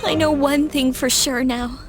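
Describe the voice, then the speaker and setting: A woman's voice: high voice, fairly even intonation, a little slow, music in the background. A determined teenage girl. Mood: resolute. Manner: earnest, resolute. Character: teenage girl, determined, grieving her father. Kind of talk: game cutscene voice acting.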